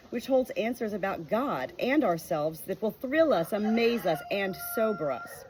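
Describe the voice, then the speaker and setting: An earnest woman reads a faith-based book aloud at an unhurried pace, pausing now and then to share her own reflections. Her voice sits in a middling register, with a faint rooster crowing in the background. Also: mid-range voice, darkish tone, slight background noise, poor audio. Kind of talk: reading a nonfiction book aloud with personal reflection.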